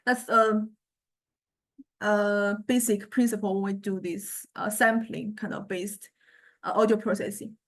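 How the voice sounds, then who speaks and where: A woman lecturer explaining a software demonstration in a halting way, often repeating words as she searches for the next one. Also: mid-range voice, flat delivery.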